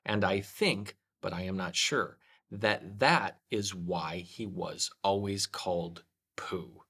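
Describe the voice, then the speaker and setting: A man's voice: low-pitched, slow pace, fairly bright tone, husky. A man reading a children's story aloud as a scripted narrator.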